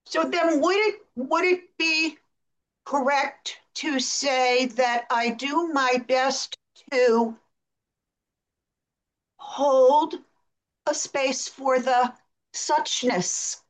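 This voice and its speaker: Very slow, high-voiced woman asking. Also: rough recording. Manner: thoughtful, hesitant phrasing. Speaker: participant asking a question.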